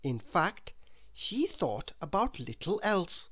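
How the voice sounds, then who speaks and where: A woman narrates a children's storybook with hugely varied intonation. Her voice is bright and slightly low, and she takes her time over each phrase in a deliberate, expressive way. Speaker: storybook reader. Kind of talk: children's read-aloud narration.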